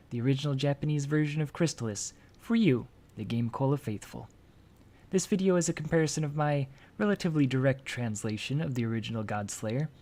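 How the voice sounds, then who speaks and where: Energetic man hosting a game translation. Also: medium pitch. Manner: lively, jokey, breaks off to comment with sudden excitement. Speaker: host and translator of a video game. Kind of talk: scripted commentary reading translated game text.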